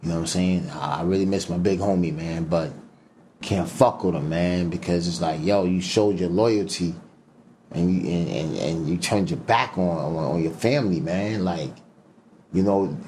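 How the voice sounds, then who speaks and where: A man's voice: low-pitched, moderate pace, warm tone, a little hiss or hum. A man talking in an interview as a guest, emphatic and profane, full of fillers and slang. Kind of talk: casual interview talk.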